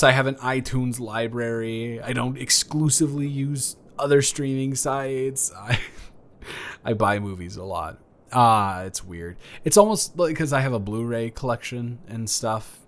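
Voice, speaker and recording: A man's voice: medium pitch, natural voice. A man improvising a flirty, awkward neighbor in a romantic roleplay audio, stammering and earnest as he talks. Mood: chatty, enthusiastic.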